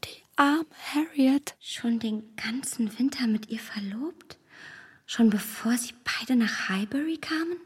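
Young gentlewoman, period radio drama, high-pitched, breathy husk, polite, impatient, articulate, reverberant. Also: slow pace, exaggerated voice. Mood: shocked. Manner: insistent, polite, articulate questioning.

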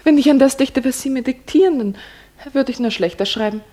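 A woman's voice: sits high, fairly quick, warm tone. A woman acting a young, devoted and curious secretary in a German radio play, coy and playful. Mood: coy, candid.

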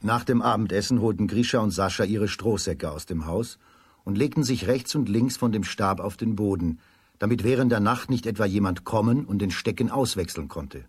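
Male narrator, lowish breathy voice, dry close sound, scripted children's storytelling. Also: normal speed, no room sound.

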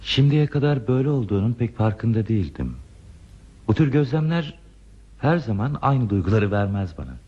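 Low-voiced, lonely railway signalman. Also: sing-song, normal speed, dark tone, slightly rough, highs cut off. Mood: wistful. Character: lonely, modest railway signalman at a remote post. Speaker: radio play actor. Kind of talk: Turkish radio theatre acting.

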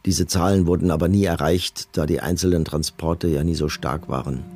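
An actor playing a middle-aged camp commandant in a documentary radio play, reading testimony in a measured, matter-of-fact, bureaucratic manner. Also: male voice, low voice, steady tone, darkish tone, breathy edge, dry recording. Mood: coldly factual.

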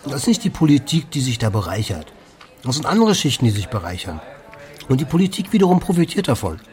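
A man's voice: mid-range voice, sing-song, fast, a little mellow, close to an everyday voice. An actor playing a pragmatic, dismissive German expatriate man, casually contradicting in a conversational radio drama. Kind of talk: conversational documentary drama, debating.

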